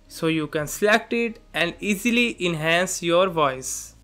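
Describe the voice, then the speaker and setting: High-pitched bright male tutorial presenter. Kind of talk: step-by-step tutorial narration. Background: background music.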